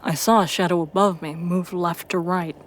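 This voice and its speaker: A woman reading a first-person story as a dramatic narrator, with intense pauses and hushed, breathy phrases. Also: on the low side, steady tone. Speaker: narrator of a fan fiction audio story.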